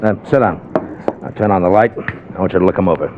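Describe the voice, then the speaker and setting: Casting: male actor as guarded radio-drama schemer, lowish voice, clipped and hesitant, on a dull old recording. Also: fast talker, lightly acted. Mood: guarded, serious. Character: guarded, possessive middle-aged man scheming a salvage fortune. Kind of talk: 1950s radio drama acting.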